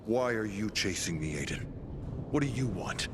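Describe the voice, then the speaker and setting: Man playing a low-voiced, grave older scientist. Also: lively intonation, normal speed, background noise, lightly acted. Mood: grave, weary. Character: older male scientist, menacing villain with fatherly tenderness. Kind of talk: dramatic video game cutscene acting. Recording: loud music under the dialogue.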